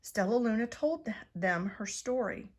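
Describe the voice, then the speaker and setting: A woman reading a children's picture book aloud in an expressive, dramatised way. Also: fairly high pitch, moderate pace. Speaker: storybook reader.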